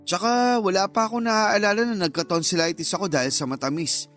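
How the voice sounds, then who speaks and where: A flirty suitor in a radio romance, full of flattery. His voice is notably high for a man. Faint music plays behind him. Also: a little fast. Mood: playful, flirting. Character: flirtatious, flattering suitor chatting up a taken woman. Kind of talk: radio drama dialogue.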